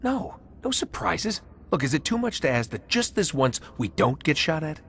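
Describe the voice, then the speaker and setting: An actor playing a wry, casual adventurer hero in a video game. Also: male voice, a little high, very animated, moderate pace, fairly bright tone, slight background noise. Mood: exasperated. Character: wry, exasperated male adventurer hero, thirties. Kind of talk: naturalistic video game acting.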